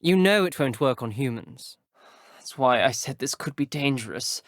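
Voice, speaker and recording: A man's voice: mid-range voice, expressive, moderate pace, dry recording, close to an everyday voice. Guy playing a serious teen boy wizard from a video game, kinda troubled and careful with words, grave, super dry recording. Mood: wary. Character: earnest, troubled teenage boy wizard student, wary of dark magic. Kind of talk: video game character dialogue.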